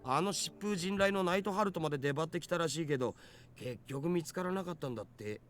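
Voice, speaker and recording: Man voicing a fast-talking geeky young otaku guy. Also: a little high, a bit bright, lightly acted. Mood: geeky, explanatory. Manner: rattling off explanations, slangy. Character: geeky young male net-savvy otaku, casual know-it-all. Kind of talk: Japanese visual novel voice acting. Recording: game dialogue with music behind.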